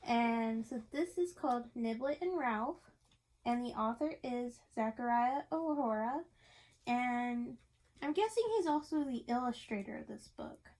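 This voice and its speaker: A woman with a high, youthful voice reads a picture storybook aloud to a young listener. She is encouraging and often pauses the reading to ask questions. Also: lively intonation, a little mellow, poor audio. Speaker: reader of a picture book to a child. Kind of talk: interactive storybook read-aloud.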